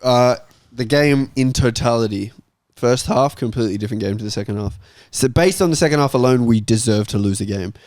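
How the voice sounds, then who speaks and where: A man's voice: medium pitch, brisk, few pauses, a little mellow, no room sound, clean studio sound. A man in a casual, opinionated football chat, speaking emphatically and swearing freely. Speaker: football podcast panellist leading the discussion.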